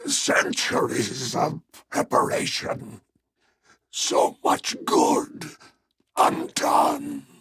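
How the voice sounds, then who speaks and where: An actor playing a frail, dying ancient man in a video game, bitter and aghast, forcing out halting, breathy words. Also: very high voice, huge pitch swings, a bit bright, exaggerated voice. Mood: anguished, bitter. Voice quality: weak, breathy, creaking gasps. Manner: halting, labored delivery.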